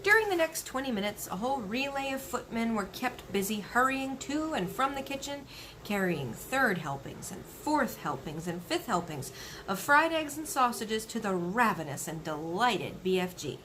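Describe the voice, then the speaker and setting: A woman reads a children's story aloud with expression. Her voice sits fairly high and moves about a lot in pitch, over faint background noise. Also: animated, moderate pace, fairly bright tone, poor audio. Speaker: story reader.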